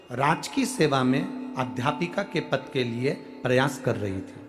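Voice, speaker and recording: Male preacher, Madhya Pradesh accent, very slow deliberate delivery, emphatic, loud and hushed by turns, faint music behind. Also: mid-range voice. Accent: Madhya Pradesh Hindi. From India. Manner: slow, emphatic, swings between loud declarations and hushed lines. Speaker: katha preacher. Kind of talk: devotional sermon, reading a letter aloud. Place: large outdoor religious gathering.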